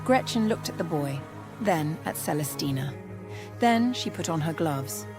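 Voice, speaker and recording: A woman narrates a piece of fiction in a low voice. Her delivery is measured and literary. Music plays clearly behind her. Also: animated. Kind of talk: scripted story narration.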